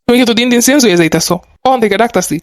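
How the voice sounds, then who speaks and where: A bossy, suspicious young cartoon husband acted by a woman who nags in a Bangladeshi Bengali accent. The words come extremely fast, in a somewhat high register for a woman, recorded very dry. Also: lively intonation, dry recording, natural voice. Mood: suspicious, annoyed. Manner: curt, nagging. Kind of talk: amateur cartoon dialogue.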